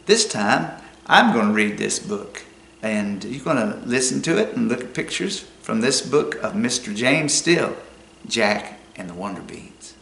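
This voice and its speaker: Creaky older Appalachian man telling stories. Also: medium pitch, big pitch swings, a little slow, fairly bright tone, a little hiss or hum. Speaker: storyteller reading a children's book. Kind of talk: expressive folksy storybook reading. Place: small book-lined room indoors.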